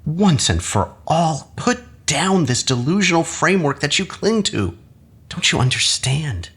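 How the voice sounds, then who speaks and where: An actor playing a manipulative academic husband in an audio drama, measured, coaxing and patronizing. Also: male voice, on the high side, big pitch swings, fairly bright tone, slightly rough, heavily acted. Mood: condescending, insistent. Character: manipulative husband, an academic doctor, calmly condescending and persuasive.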